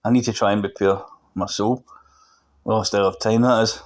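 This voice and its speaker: A man's voice: lowish voice, steady tone, normal speed, natural voice. Haunted Glasgow cabbie played by a male reader, hesitant and earnest, audiobook dialogue. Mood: desperate, resolute. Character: frightened, haunted Glaswegian cab driver, male.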